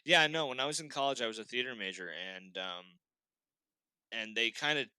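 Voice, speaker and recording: A young man from Boston talks casually as a podcast guest. His voice is very bright and crisp, with a dry, close sound. He laughs often and restarts his sentences. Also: medium pitch, normal speed, no room sound. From Boston, Massachusetts. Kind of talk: casual chat.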